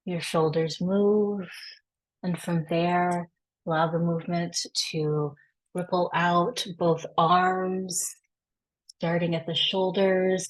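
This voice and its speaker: A woman leading a guided body scan meditation, giving gentle, reassuring, unhurried instructions. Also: lowish voice, poor audio. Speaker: guided practice facilitator.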